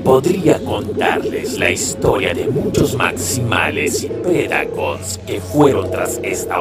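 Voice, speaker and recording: A man with a Latin American accent playing a smug, scheming robot crime lord in a cartoon dub, with theatrical, condescending, drawn-out menace. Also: on the low side. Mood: ominous. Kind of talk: dramatic dubbed villain monologue.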